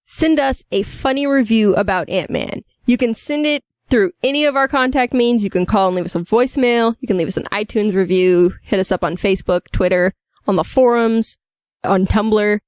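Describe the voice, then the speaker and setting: A woman's voice: mid-range voice, moderate pace, a little mellow, no room sound, studio quality. A woman co-hosting a casual podcast, speaking in an articulate, orderly way. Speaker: podcast co-host.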